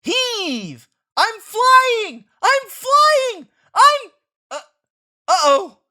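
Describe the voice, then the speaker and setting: A woman voicing an excitable, stubborn young boy in a comic strip read aloud, with a dramatic, exclamatory manner. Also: very high-pitched, very slow, pitched above the performer's own voice, exaggerated voice. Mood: excited. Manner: dramatic, exclamatory, whiny when upset.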